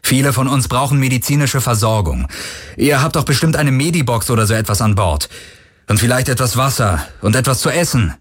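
Man performing a rugged male boss, firm, commanding, cunning, raised pitch, science fiction audiobook. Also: lively intonation, brisk, slightly rough. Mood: pleading.

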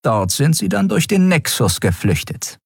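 A man's voice: on the high side, big pitch swings, moderate pace, vocal fry, breathy edge, no echo, studio quality, voice pushed up, full character voice. A man voicing a female alien first officer who reports in a formal military manner, in an audiobook.

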